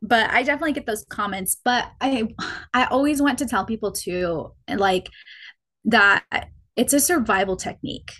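A woman's voice: a little high, moderate pace, a bit bright. Reflective young woman telling her story. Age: young adult. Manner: reflective, many likes and restarts. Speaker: podcast guest. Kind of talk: personal storytelling in conversation.

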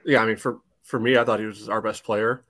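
A man's voice: lowish voice, flat delivery, a little fast, a bit bright, no room sound, studio quality. A man giving casual soccer analysis on a podcast, padding his points with frequent conversational asides. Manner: leans heavily on "you know" asides. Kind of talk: casual match analysis discussion.

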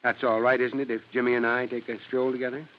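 An actor playing a kindly, steady American fire chief in old-time radio drama, with a reassuring, folksy authority. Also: male voice, mid-range voice, fast, close to an everyday voice. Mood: concerned, coaxing. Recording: muffled, hissy old radio recording.

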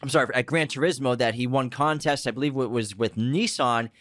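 A man's voice: mid-range voice, a little fast, fairly bright tone, no room sound, studio quality. A man co-hosting a podcast, casually running through upcoming video game adaptations and correcting himself with good humor. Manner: lists upcoming projects, self-correcting, playful. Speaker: podcast co-host. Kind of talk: casual movie news chat.

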